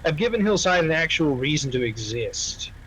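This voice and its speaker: A man's voice: on the high side, very animated, moderate pace, fairly bright tone, slight background noise, poor audio. A man talking casually in an online roleplay game, emphatic and repetitive, swearing freely. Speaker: roleplay gamer, faction member.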